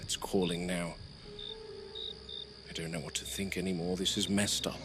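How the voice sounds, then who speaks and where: A man's voice: deep voice, flat delivery, normal speed, music in the background, close to an everyday voice. A man acting as a dogged game warden in a found-footage horror log, muttering urgently to himself and swearing as he tracks an escaped animal. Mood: hushed, unsettled.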